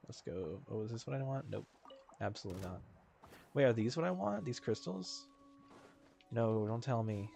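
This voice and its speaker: A man doing casual, informal gameplay commentary on a livestream, thinking out loud and swearing freely. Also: medium pitch, expressive, moderate pace, creaky, pauses often, a little hiss or hum. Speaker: game streamer. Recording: game music behind the voice. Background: video game music.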